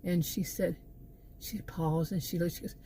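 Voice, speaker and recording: A woman's voice: on the low side, steady tone, normal speed, dark tone, slight background noise. A middle-aged woman with a Texan accent, talking in a meandering opinion monologue full of asides and restarts. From Texas. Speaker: channel host.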